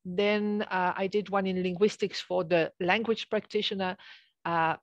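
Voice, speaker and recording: A woman in her fifties from Belgium giving an online academic presentation in a halting, conversational way. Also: mid-range voice, no room sound, dull audio. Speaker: associate professor, guest speaker. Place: small office with bookshelves, indoors. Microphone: headset on a video call.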